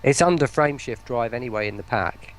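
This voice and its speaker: A man speaking as a guest in a casual livestream interview, with a halting, wry way of talking. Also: medium pitch, slight background noise. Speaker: guest voice pack producer.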